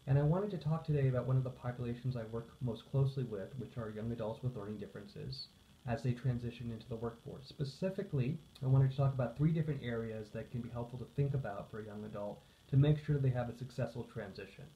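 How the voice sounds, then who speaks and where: A man's voice: mid-range voice, fast talker, a little hiss or hum. A man giving an earnest, explanatory informational talk as a counselor and coach, stressing key phrases as he goes. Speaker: psychotherapist, social worker and coach. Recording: echoey room, slightly dull sound.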